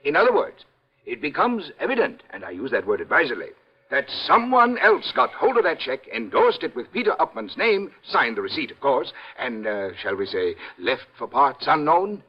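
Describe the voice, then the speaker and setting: A dull, old radio recording of a pompous American man in his sixties. His husky voice rises and falls dramatically. He is fussy and self-important, every phrase weighted like an official pronouncement. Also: medium pitch, big pitch swings. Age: 60s. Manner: pompous, fussy, deliberate emphasis. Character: pompous, self-important short stout insurance executive around sixty. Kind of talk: 1950s radio drama acting.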